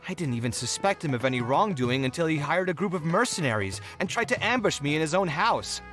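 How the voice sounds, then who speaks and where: An actor playing a principled, scholarly young architect in a video game, articulate and reflective in an emotive way. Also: male voice, fairly high pitch, very animated, quick pace, fairly bright tone, faint music behind. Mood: rueful storytelling. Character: principled young male architect, scholarly, expressive, too trusting.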